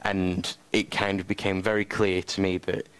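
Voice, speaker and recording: A man who leads a church children's group, answering hesitantly with many pauses and "uh"s. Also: low-pitched, flat delivery, a bit bright, clean tone. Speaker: children's ministry leader. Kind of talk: casual interview answers.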